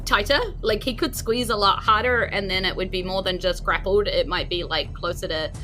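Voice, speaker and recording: A woman narrating a tabletop game as game master in a casual, improvised, animated way. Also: mid-range voice, moderate pace, poor audio. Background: faint music.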